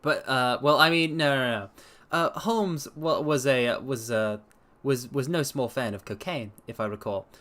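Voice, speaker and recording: Man, medium pitch, theatrical story reader, expressive, casual livestream asides. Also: normal speed, few pauses. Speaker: streamer and reader. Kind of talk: dramatic reading with casual asides.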